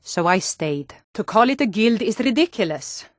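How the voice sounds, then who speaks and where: An actress playing stern, earnest Nord warrior women and town guards in a fantasy video game, speaking in a firm, measured way. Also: female voice, mid-range voice, expressive, dry recording, studio quality, close to an everyday voice. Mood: earnest, resolute. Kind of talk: video game character dialogue.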